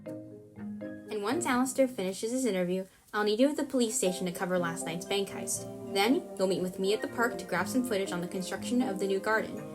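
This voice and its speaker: A woman voicing an energetic, enthusiastic female news director who rambles briskly in a comedic audio drama. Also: mid-range voice, close to an everyday voice. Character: booming, energetic female lead news director, bossy but friendly. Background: music bed.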